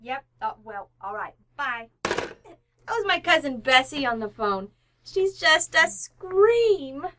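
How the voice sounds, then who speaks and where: A woman's voice: sits high, sing-song, slow, sharp tone, heavily acted. A woman acting a brash, nosy, slangy housekeeper in an old-time radio comedy, blunt and overly familiar. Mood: chatty.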